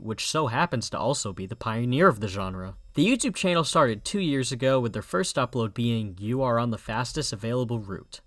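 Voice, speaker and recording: A man's voice: mid-range voice, no room sound. A man narrating a scripted video review in an informal, sardonic, sweary way.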